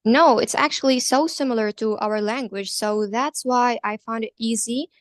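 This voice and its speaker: A woman's voice: a little high, normal speed, dry recording, clean studio sound. Careful Arabic-speaking woman student. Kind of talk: class discussion answer.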